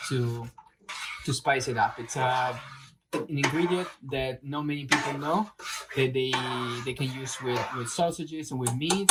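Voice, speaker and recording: A man's voice: medium pitch, heavy background noise. A man with an Italian accent, co-hosting a casual cooking demonstration in a kitchen, often repeating words as he hunts for the right one. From Italy. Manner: halting, repeats words while searching for them. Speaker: cooking show co-host.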